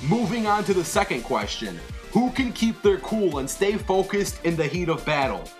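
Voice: mid-range voice, big pitch swings, normal speed. A video host reading scripted commentary and addressing viewers directly. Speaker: host of an animated versus show. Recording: music under the voice. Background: background music.

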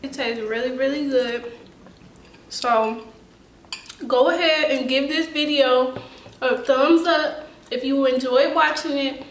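A woman's voice: high-pitched, fairly even intonation, slow pace, long pauses, a little hiss or hum. A young woman hosting a casual home cooking video, talking viewers through a recipe in a chatty, slangy way. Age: young adult. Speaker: cooking vlogger. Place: small home kitchen, indoors.

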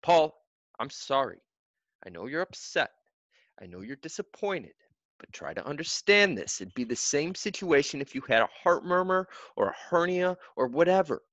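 A man voicing a middle-aged male soccer coach who lays down the rules in a firm, measured way. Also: mid-range voice, a bit bright, dry recording, highs cut off, natural voice. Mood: apologetic. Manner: firm, measured, rule-bound. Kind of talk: audiobook character voice.

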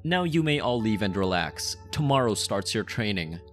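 A menacing, possessive mafia boss played by a man speaks in a fairly low voice over background music. He is playful and teasing, with a theatrical ASMR roleplay delivery. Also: big pitch swings, fairly bright tone. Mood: commanding, menacing. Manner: slow teasing, sinister chuckles, theatrical.

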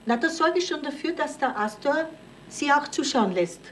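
A woman's voice: high-pitched, lively intonation, normal speed. An actress playing a bossy housekeeping woman in a radio drama, coaxing and persuasive. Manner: persuasive, managing. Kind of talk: German radio comedy-drama acting. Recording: slightly noisy 1970s radio recording.

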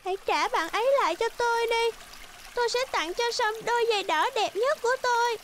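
Very high-pitched earnest little girl. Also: brisk, noisy background. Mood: worried. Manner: pleading, plaintive. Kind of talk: children's cartoon voice acting.

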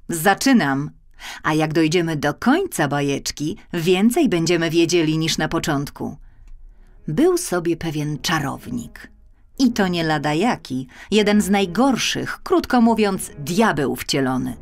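A woman narrates a fairy tale for children in a slightly low voice. Her delivery is expressive and emphatic, lingering on key words. Faint music plays behind her. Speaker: audiobook narrator.

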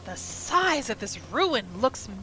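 A woman's voice: sits high, big pitch swings, slow, pushed and bright, low-quality recording. A woman hosting a gaming video, giving reactive roleplay commentary over gameplay. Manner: reactive, narrates her character in third person. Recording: faint game music behind.

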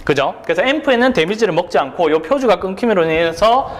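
Man lecturing, high lively voice, asks and answers his own questions, long room reverb. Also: fast. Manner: rhetorical questions, frequent checks on students. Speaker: instructor. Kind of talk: exam prep lecture.

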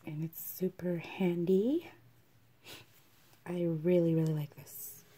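A woman with a froggy, hoarse voice from a cold, chatting casually as she unboxes beauty products at her vanity table, often half-whispering and clearing her throat. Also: lowish voice, fairly even intonation, slow pace, dark tone. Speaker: beauty vlogger. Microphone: camera over the table, hands only in view.

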